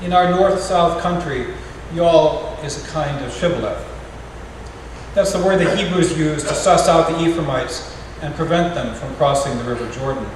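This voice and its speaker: A middle-aged American man, a parish artist in residence, reads a wry poem in a gentle, measured way with pauses at a farewell gathering. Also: a little high. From United States. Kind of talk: poetry reading at a farewell gathering. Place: large echoey parish hall. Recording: echoey hall from far off, noisy.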